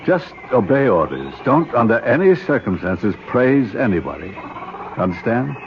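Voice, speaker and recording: An actor in a radio drama playing a stern, condescending archaeologist uncle who speaks in a formal, lecturing way. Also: male voice, medium pitch, big pitch swings, moderate pace. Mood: exasperated lecturing. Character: stern, condescending older archaeologist uncle leading a jungle expedition. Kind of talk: 1970s American radio drama acting. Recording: muffled, noisy old radio broadcast.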